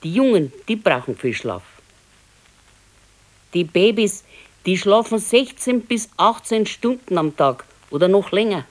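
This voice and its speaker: Actress as elderly wife, Bavarian accent, low female voice, patient, consoling, matter-of-fact, resigned, naturalistic radio drama. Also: lively intonation, measured pace, close to an everyday voice. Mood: caring, practical. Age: older.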